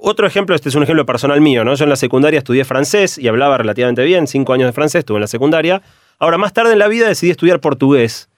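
Fast-talking Argentine man explaining on radio. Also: a little high, no echo, clean studio sound. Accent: Argentine Spanish. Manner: explains in quick, steady runs of examples. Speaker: radio columnist.

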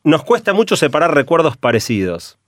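A middle-aged Argentine man talks quickly through examples as a radio columnist, his voice sitting a little high. The studio sound is dry and close. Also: no room sound. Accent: Argentine Spanish. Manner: explains in quick, steady runs of examples. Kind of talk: explanatory radio talk.

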